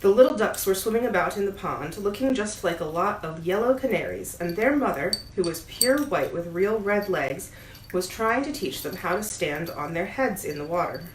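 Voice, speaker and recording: A woman's voice: mid-range voice, expressive, normal speed. A woman reading a storybook aloud as a bedtime story, expressively and with playful asides. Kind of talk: storybook read-aloud to a pet dog. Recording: roomy echo, audible background noise.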